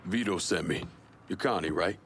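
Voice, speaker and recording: Tough terse game mobster, real low voice, some background ambience. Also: male voice, moderate pace, a bit bright, a little hiss or hum. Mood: businesslike. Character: tough, terse young male mob enforcer. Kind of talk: video game acting.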